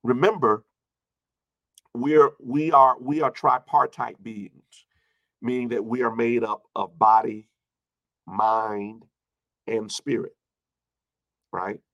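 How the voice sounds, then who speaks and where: Gravelly-voiced preaching man in his fifties. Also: medium pitch, steady tone, takes it slowly, pauses often, dry recording, lo-fi sound. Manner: emphatic repetition of phrases with swings between loud and hushed. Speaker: pastor addressing an online congregation. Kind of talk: informal sermon with personal anecdotes and replies to viewer comments.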